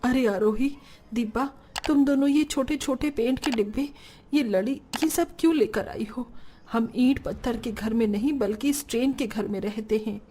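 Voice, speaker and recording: A woman acting the devoted, anxious women of a poor household in a melodramatic animated family story. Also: a little high, normal speed, slight background noise, lightly acted. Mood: puzzled. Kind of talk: melodramatic Hindi cartoon family drama.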